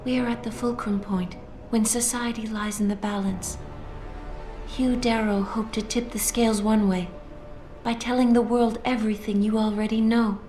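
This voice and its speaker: A woman voicing an enigmatic artificial-intelligence news anchor, measured, formal and solemn. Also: on the high side, fairly even intonation, mellow, lightly acted. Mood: solemn composure. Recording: echoey, faint music behind.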